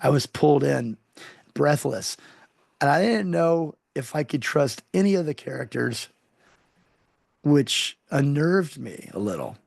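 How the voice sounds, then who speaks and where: A man's voice: a little high, lively intonation, unhurried, gravelly, darkish tone, no echo, clean studio sound. A man hosting an interview show, praising his guest effusively with frequent restarts. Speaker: show host.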